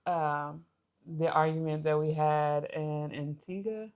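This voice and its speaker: A woman's voice: deep voice, steady tone, slow pace, darkish tone, clean tone. A woman co-hosting a casual relationship discussion, speaking conversationally and often checking in with a "you know". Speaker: podcast co-host.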